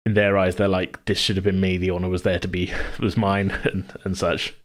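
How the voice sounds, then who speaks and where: Low-voiced man, halting casual chat. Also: level tone. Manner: halting, thinking aloud. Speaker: tabletop role-play podcast host and game master.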